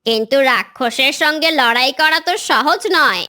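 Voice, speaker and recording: A woman's voice: high-pitched, moderate pace, pushed and bright. A woman voicing an anxious, loyal female forest bird in a cartoon, earnest and urgent. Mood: worried. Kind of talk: cartoon character acting.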